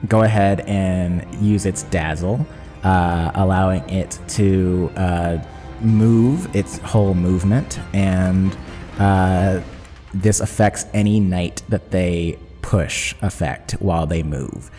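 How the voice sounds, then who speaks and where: A man's voice: low-pitched, fairly even intonation, slow pace, warm tone, soft background music. A man narrating a tabletop roleplaying game as game master, describing the scene dramatically.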